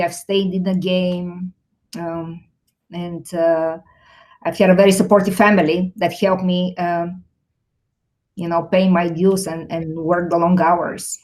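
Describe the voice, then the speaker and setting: A woman's voice: on the low side, a little mellow, poor audio. A middle-aged woman with a Bulgarian accent answering interview questions conversationally, candid and self-deprecating, telling anecdotes as a technology executive.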